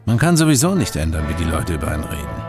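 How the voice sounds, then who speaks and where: An actor playing a cynical, disdainful underworld tough in a German radio drama, with a gravelly voice and clipped phrases. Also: male voice, a bass voice, sing-song, fast, music in the background. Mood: scornful.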